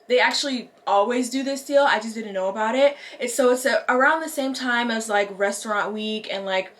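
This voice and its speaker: A woman's voice: a little high, brisk. A young American woman chatting casually and informally to her vlog audience, stretching out words for emphasis. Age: young adult. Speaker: lifestyle vlogger.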